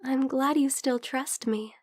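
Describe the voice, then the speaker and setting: Woman as gentle sick vampire friend for ASMR roleplay: high, soft voice, slow delivery, teasing yet hesitant. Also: fairly even intonation, no echo, clean studio sound. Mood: hesitant, confessing.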